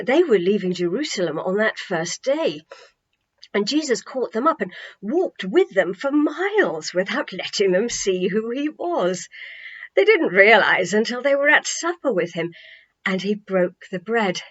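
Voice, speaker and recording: A woman voicing an enthusiastic, assured man in a storybook, explaining things in an animated way. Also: mid-range voice, measured pace. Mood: eager. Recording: slightly dull home recording.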